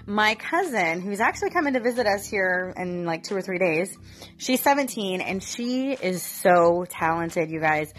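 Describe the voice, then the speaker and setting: A woman's voice: medium pitch, expressive, moderate pace, soft background music. A woman getting over a cough, talking casually and candidly to her viewers, with lots of fillers. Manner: chatty, candid, with frequent fillers. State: recovering from a cough. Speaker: vlogger. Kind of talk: casual chat to camera.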